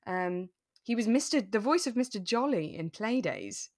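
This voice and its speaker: A woman's voice: mid-range voice, sing-song, moderate pace, a little mellow, no room sound. Woman co-hosting a chatty podcast, close dry sound. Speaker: podcast co-host. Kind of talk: casual chat about a radio drama.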